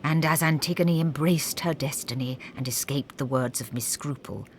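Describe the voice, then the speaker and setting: An actress playing a wry, grandiose storybook narrator, delivering scripted comic narration in an arch, measured way. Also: female voice, a bass voice, slight background noise.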